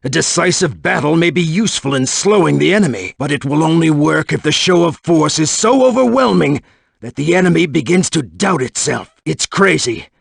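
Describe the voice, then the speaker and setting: A man voicing a proud, forceful army general in a video game, commanding and formal. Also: fairly high pitch, expressive, moderate pace, vocal fry, husky, no echo, studio quality, full character voice. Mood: resolute. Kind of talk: dramatic video game voice acting.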